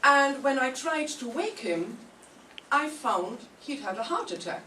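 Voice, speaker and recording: An older woman playing a genteel, fretful housewife in an old radio mystery, theatrical and halting. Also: high-pitched, animated, pushed and bright, full character voice. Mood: shocked. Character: genteel housewife hostess, fretful, suddenly widowed. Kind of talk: 1940s radio mystery acting. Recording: roomy, distant mic, faint noise.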